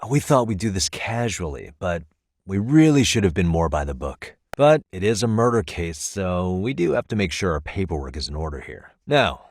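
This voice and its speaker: An actor playing a sharp, wry private detective in a video game, with an American accent and a casual, persuasive delivery. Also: male voice, deep voice, very animated, normal speed, dry recording, studio quality, heavily acted. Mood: polite, persuasive. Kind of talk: English video game dub acting.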